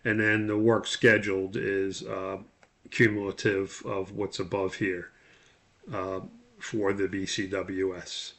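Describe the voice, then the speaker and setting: A man giving an instructional walkthrough as a course instructor, explaining in a halting way and spelling out terms. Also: deep voice, level tone, vocal fry, fairly bright tone.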